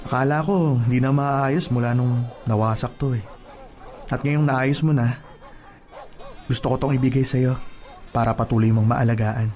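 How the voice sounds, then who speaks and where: A man playing an earnest, lovesick plumber in an audio drama, pleading in a halting, sentimental way. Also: mid-range voice, steady tone, a little mellow, faint music behind, close to an everyday voice. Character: lovesick, earnest plumber suitor, wounded yet patient. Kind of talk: radio-drama style acting.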